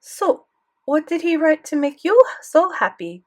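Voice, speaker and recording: A woman's voice: high-pitched, very animated, measured pace, darkish tone, voice pushed up. A woman voicing an old French grandmother, doting yet commanding, with a thick French accent in an audiobook. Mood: doting, insistent. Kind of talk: audiobook character dialogue.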